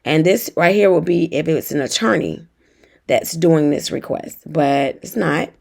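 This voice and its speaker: Young woman host, sick and raspy, low and rough; casual, talks straight to camera. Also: a little slow, a little mellow. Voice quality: raspy, deeper than usual. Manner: chatty, talks straight to viewers. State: hoarse from feeling unwell. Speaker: notary tutorial host. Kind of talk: casual tutorial walkthrough.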